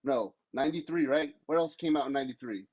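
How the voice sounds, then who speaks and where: Male panelist, mid-pitched, emphatic and blunt casual talk, recorded dry and slightly muffled. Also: fast, slightly rough, no echo. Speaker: podcast panelist.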